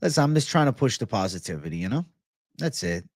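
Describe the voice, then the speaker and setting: A man's voice: mid-range voice, very animated, fast talker, breathy edge, no echo, clean studio sound. A man hosting a casual livestream, chatting and answering viewers in slangy, profane, bro-heavy banter. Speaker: livestream host.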